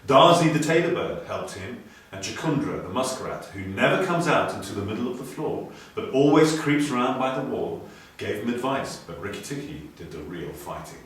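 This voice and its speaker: A man reading a children's story aloud with theatrical expression and clear enunciation. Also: mid-range voice, very animated, moderate pace, a bit bright. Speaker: storybook reader. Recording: very echoey room, distant mic.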